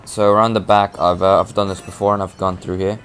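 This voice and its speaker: A man's voice: low voice, little pitch movement, fairly quick, a bit bright, soft background music. A man giving casual let's play commentary, rambling as he plays and losing his voice. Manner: rambling, talks through his moves, restarts often. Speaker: gameplay commentator.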